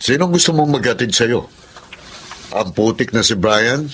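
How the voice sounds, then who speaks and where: An actor playing a possessive, jealous suitor with a taunting, insistent delivery in a Tagalog radio drama. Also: male voice, mid-range voice, animated, measured pace, fairly bright tone, husky. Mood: pushy, jealous. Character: possessive, jealous, mocking male suitor who bullies and pleads.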